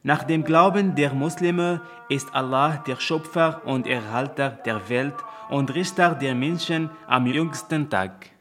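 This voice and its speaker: A man's voice: medium pitch, measured pace, hardly any pauses, a bit bright, faint music behind. A man in his twenties from Morocco, with a Moroccan accent and Arabic as his first language, carefully reading a prepared presentation. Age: 20s. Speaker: student presenter. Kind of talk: scripted presentation.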